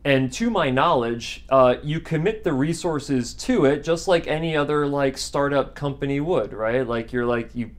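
A man in his thirties hosts a relaxed sit-down interview, speaking in a mid-pitched voice. He asks long, meandering questions full of fillers. He is recorded on a studio set with an off-camera mic. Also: moderate pace, few pauses. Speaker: audiologist host. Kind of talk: casual interview. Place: dark studio set with armchairs.

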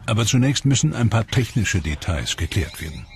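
A man narrating a science documentary in a calm, measured, scripted delivery. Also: fairly low pitch. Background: music.

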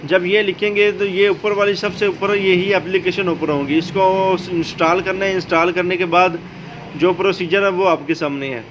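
Male tutorial host, notably high voice, explaining step by step over slightly noisy audio. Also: normal speed. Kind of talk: how-to video narration. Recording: dull lo-fi audio, steady background noise.

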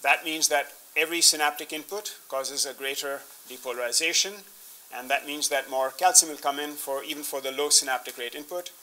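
Man in his fifties, very bright sharp voice, slightly high pitch, scientific lecture, halting restarts. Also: fairly even intonation, rough recording. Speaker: keynote speaker, researcher. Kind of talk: scientific conference talk, explaining slides. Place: indoor conference room, standing at a podium with laptop.

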